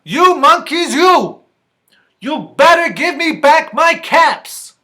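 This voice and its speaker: A man puts on an exasperated cap peddler from a storybook. The voice jumps high and forceful, emphatic and demanding, with words shouted out. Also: very animated, takes it slowly, exaggerated voice. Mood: furious. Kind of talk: picture-book read-aloud acting.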